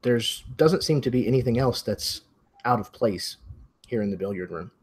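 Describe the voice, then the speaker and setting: A man with a slightly rough-edged, medium-pitched voice runs a mystery role-playing game. He narrates in a measured, improvised way, pausing between phrases as he sets out the scene. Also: expressive, darkish tone. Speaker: game master.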